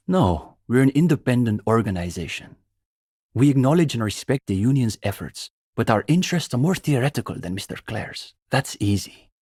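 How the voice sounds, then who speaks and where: An actor playing a pompous, earnest young communist student in a video game, a bookish zealot who talks in precise, lecturing phrases. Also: male voice, medium pitch, darkish tone, breathy edge, no echo, clean studio sound. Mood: measured, theorizing. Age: young adult. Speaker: student leader of a revolutionary reading group. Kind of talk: video game character dialogue.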